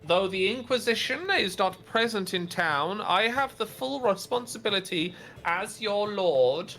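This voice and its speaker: A man doing a tall, pompous noblewoman in a tabletop game. She speaks high and proud, looks down on everyone, and declaims her verdicts in a bright, forceful voice. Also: animated, unhurried, faint music behind, exaggerated voice, poor audio. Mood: declaiming. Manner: haughty, declaiming, high put-on voice. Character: pompous tall noblewoman claiming lordship, haughty and scornful.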